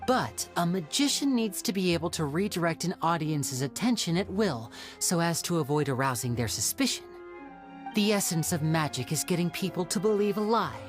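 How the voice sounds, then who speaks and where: Composed, low-pitched young sleuth. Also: female voice. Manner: measured, deliberate, explanatory. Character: composed, clever young magician sleuth, calmly confident. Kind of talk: video game voice acting. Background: faint background music.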